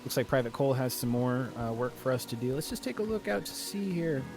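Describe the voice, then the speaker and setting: A man's voice: mid-range voice, expressive, quick pace. A man with a sniffly voice from allergies, chatting casually as he plays a game and reads the quest text aloud. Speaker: podcast host playing a game. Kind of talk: casual gameplay commentary. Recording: voice over game music. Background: game music.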